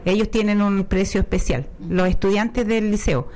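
A woman's voice: mid-range voice, moderate pace, mellow. Chatty Chilean woman, radio guest. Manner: chatty, with restarts. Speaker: guest, co-owner of a sports venue. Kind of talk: radio interview. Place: radio studio. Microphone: studio microphone.

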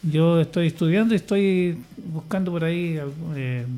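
A man's voice: a little high, normal speed, warm tone. An older man speaking in casual radio chat, hesitant and rambling, with many restarts and fillers. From Chile. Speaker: radio guest, church choir leader.

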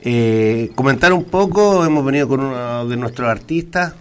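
Chilean man, mid-pitched, in a friendly interview. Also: normal speed, smooth. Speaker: circus spokesman, radio guest. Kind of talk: casual radio interview. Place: radio studio.

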